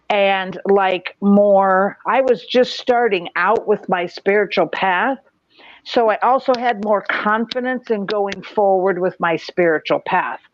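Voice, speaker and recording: A woman sharing a personal story on a livestream, speaking in a slow, hesitant way with many pauses. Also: medium pitch, highs cut off, slight background noise. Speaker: guest speaker. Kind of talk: livestream conversation.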